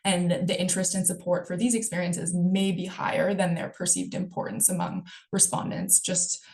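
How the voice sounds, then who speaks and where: A woman presenting survey results in a webinar, explaining the data in a steady way. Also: fairly low pitch, normal speed, creaky.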